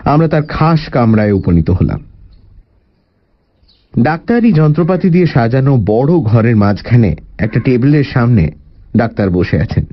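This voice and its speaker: A man's voice: medium pitch, very animated, normal speed, long pauses, highs cut off. A man narrating a detective story in the first person as a dramatized storybook reading.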